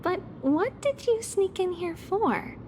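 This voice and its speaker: A woman playing a gentle, kindly, reserved young maiden in a video game. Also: extremely high pitch, expressive, takes it slowly, a little hiss or hum, full character voice. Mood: gentle, amused. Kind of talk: game voice acting. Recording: music behind the voice.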